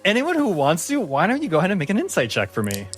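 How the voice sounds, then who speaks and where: A man working as game master, improvising dramatic, deliberate narration for a tabletop role-playing game. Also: high-pitched, huge pitch swings. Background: music.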